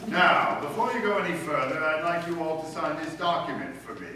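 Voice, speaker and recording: An actor playing a pompous, blustering middle-aged school principal in sarcastically formal radio comedy style. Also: male voice, fairly high pitch, sing-song, brisk, bright, forceful tone. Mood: gloating, angry. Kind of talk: old-time radio comedy acting. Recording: echoey room from far off, faint noise.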